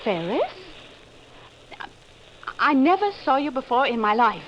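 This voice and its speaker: A woman's voice: high voice, sing-song, a little slow. An actress playing a confused, troubled wife searching her memory, in the melodramatic style of 1940s radio acting. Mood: frantic. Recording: muffled, noisy old radio recording.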